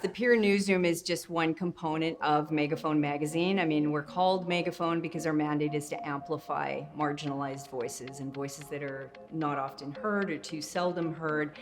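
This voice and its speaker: Low-voiced woman in an echoey, busy room. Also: fairly even intonation, moderate pace, few pauses. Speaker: editorial director of a peer newsroom. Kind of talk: interview remarks and meeting talk. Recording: roomy echo, chatter of a meeting.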